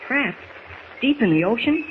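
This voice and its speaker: A man's voice: very high-pitched, very animated, measured pace, full character voice. An actor playing an eager, earnest copy boy, wide-eyed and gushing, in 1940s radio acting. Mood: eager, puzzled. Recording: noisy 1940s radio recording.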